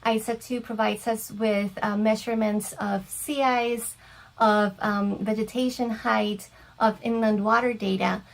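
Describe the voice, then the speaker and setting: A woman's voice: a little high, steady tone, measured pace, gravelly, poor audio. A woman who works as an applications coordinator, explaining her work in an interview, with occasional fillers. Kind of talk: casual explanatory interview answers.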